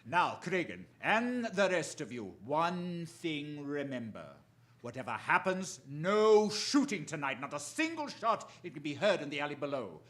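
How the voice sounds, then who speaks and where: An actor playing a commanding, menacing crime boss, imperious and deliberate in a theatrical radio drama style. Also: male voice, fairly high pitch, very animated, a bit bright, slight background noise.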